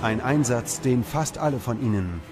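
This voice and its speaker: A man reading scripted documentary narration in a measured, dramatic manner. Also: medium pitch, expressive. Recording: music under the voice. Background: background music.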